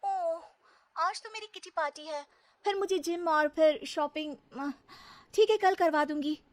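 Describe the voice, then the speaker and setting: A woman's voice: extremely high pitch, unhurried, pitched above the performer's own voice. A woman voicing a haughty, petulant young city wife in an acted story. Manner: petulant, snobbish. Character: haughty, whiny young city wife, embarrassed by mother-in-law.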